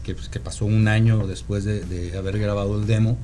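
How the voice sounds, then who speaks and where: A Mexican man from Guadalajara talks casually in a relaxed, mellow voice. He tells his story haltingly, circling back and checking in as he goes. A faint noise sits behind him. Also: lowish voice. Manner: halting, meandering storyteller who seeks confirmation with "¿no?". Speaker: podcast guest, rock musician. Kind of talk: casual reminiscing conversation.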